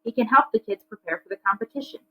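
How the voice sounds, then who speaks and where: A woman's voice: a little high, quick pace, bright, forceful tone, rough recording. A woman voicing a worried but fair father in a children's storybook, reasoning firmly. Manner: firm, reasoning.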